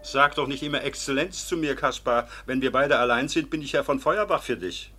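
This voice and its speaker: An actor playing a kindly older court official in a German radio drama, husky, patient and gently admonishing in a measured paternal way. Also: male voice, medium pitch, slight background noise, lightly acted.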